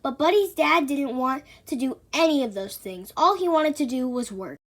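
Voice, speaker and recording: A woman narrates a children's picture book aloud. Her voice is high, with animated rises and falls as she tells the story. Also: normal speed. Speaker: storybook reader.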